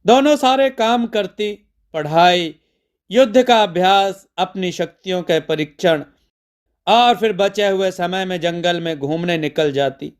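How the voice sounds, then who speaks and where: A male storyteller with a distinctly high, smooth voice narrates a mythological tale. His phrasing is measured and deliberate, and the delivery is clean and resonant.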